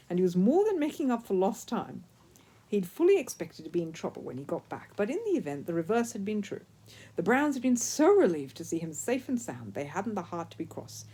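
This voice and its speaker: A woman reading a children's story aloud as an expressive narrator. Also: mid-range voice, husky. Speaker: storyteller, audiobook reader.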